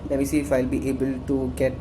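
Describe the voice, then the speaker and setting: A man with an Indian accent walks through a coding tutorial in a loose, conversational way, often repeating phrases to drive a point home. The recording carries a clear layer of background noise. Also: medium pitch, monotone, fast. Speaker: workshop instructor. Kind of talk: casual screen-share tutorial.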